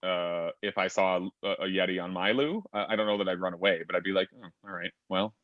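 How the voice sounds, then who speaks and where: Deep-voiced man hosting a panel. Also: steady tone, brisk, no echo. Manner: chatty, rambling with many fillers. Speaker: panel host. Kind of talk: casual panel discussion over video call. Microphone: video call. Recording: dull lo-fi video call audio.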